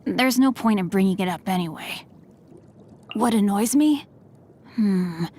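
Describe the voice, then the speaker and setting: A woman voicing a refined, courteous young male swordsman and scholar in a game, speaking politely and formally with a gently teasing edge. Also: mid-range voice, measured pace, slight background noise, close to an everyday voice. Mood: polite, composed. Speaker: game character voice lines. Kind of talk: scripted game voice lines.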